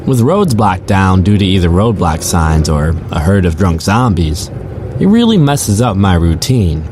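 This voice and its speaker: A man's voice: sits low, very animated, measured pace, background noise. A man playing a chatty, sardonic rideshare driver, telling his story in the first person for an audio drama. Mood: wry, griping. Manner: casual, sardonic, conversational. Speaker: narrator and lead character.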